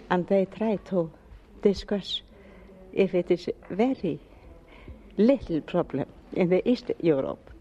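A woman's voice: on the low side, warm tone, a little hiss or hum. A woman from Iceland with an Icelandic accent, a conference attendee who speaks English hesitantly while searching for words. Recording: dull recording with faint background ambience.

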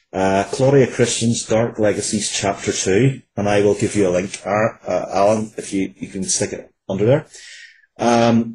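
A man in his forties speaks as an interview guest in a low, soft-edged voice. He is earnest and often restarts his phrases. There is faint noise behind him. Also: poor audio. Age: 40s. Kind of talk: conversational interview answers.

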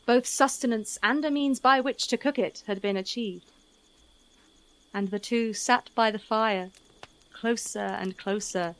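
A woman narrator reading a scripted fantasy tale in a measured, literary, wryly understated manner. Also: medium pitch, low-quality recording.

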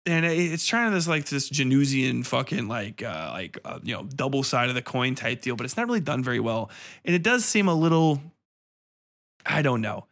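A man's voice: medium pitch, expressive, moderate pace, fairly bright tone. A man hosting a podcast, giving a casual, opinionated film review in a profane, incredulous manner.

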